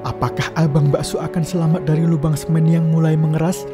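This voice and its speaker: A man's voice: fairly high pitch, flat delivery, fairly quick. A man narrating a cartoon story from a script. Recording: music playing behind.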